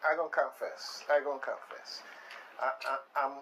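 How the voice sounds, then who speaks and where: A man with a Caribbean accent from the Virgin Islands hosts casual live radio, talking playfully and haltingly and repeating his phrases. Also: mid-range voice, fairly even intonation, bright, forceful tone, a little hiss or hum, poor audio. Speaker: radio show host. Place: radio studio with shared microphones.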